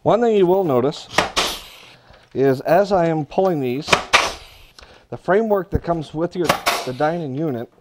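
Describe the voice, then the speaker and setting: A man giving hands-on instruction in a workshop, talking viewers through his work in a relaxed, practical way while he works at the bench. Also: fairly high pitch, moderate pace, long pauses, heavy background noise. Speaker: aircraft builder demonstrating.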